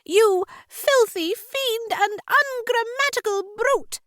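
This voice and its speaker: A woman's voice: extremely high pitch, takes it slowly, fairly bright tone, dry recording, studio quality, pitched above the performer's own voice, full character voice. A woman voicing a staunch, defiant adventure heroine in an audiobook, bold and declamatory.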